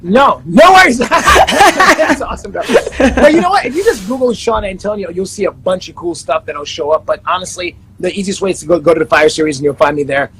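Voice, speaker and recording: A man's voice: high-pitched, animated, a little fast, hardly any pauses, a bit bright, slight background noise. A man in his thirties, a life coach, chatting upbeat in an interview over a video call. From Panama and the Netherlands. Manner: upbeat, self-promoting, many restarts. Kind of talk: casual interview chat.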